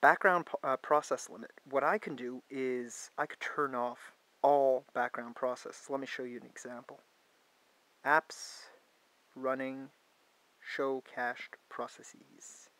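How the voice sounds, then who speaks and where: A man's voice: medium pitch, steady tone, slow, sharp tone, no echo, poor audio. A man with a stuffed-up, congested voice, casually talking through phone settings in a hands-on review, thinking aloud and often restarting. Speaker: tech reviewer.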